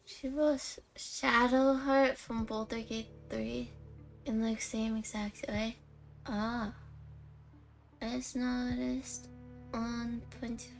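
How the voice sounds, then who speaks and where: Unhurried, high-voiced woman game streamer. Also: rough recording. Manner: playful, chatty. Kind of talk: casual live game commentary. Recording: faint music behind the voice.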